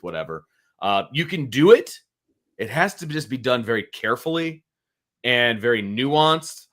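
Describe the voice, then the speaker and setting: A man's voice: medium pitch, a bit bright. A middle-aged man giving casual, opinionated sports talk as a co-host, emphatically repeating his points. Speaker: sports show co-host, analyst.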